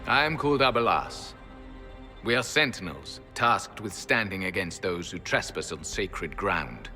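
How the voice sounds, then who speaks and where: An actor voicing a proud, weary ancient elf guardian in a video game, speaking in stern, formal, archaic phrases. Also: male voice, deep voice, expressive, measured pace, sharp tone, exaggerated voice. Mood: stern, solemn. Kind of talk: dramatic video game acting. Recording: long hall reverb, music underneath.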